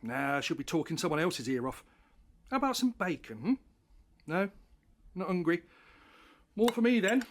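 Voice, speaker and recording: A man's voice: sits high, very animated, moderate pace, long pauses, a little hiss or hum. A man playing a breezy, teasing, over-familiar stranger with a big appetite in a comic audio drama. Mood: teasing, cocky. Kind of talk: comedic audio drama acting.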